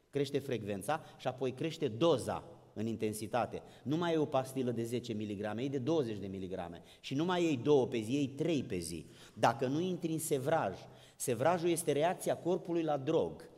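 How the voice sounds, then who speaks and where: A man's voice: mid-range voice, a little mellow. Male preacher in a reverberant hall. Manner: plain-spoken, didactic, addresses listeners directly. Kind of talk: sermon. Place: large indoor hall with long reverb.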